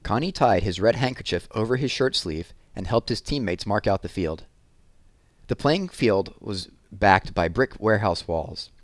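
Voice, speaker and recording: A man's voice: on the low side, animated, moderate pace, no echo. A man narrating a children's story as a read-aloud. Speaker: storybook reader.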